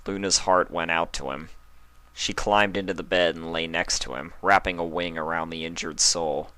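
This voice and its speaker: Deep-voiced man reading a story aloud. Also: clean tone, no echo. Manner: even, measured narration. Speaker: fanfic reader.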